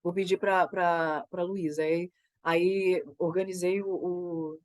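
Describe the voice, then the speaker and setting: A woman with a Brazilian Portuguese accent, a theater creator getting over a cold, talking about her work in a reflective, halting way and often tagging her sentences with "né?". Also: fairly low pitch, fairly even intonation, a little mellow, dry recording. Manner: thoughtful, halting, frequent "né?" tags. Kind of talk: reflective conversation.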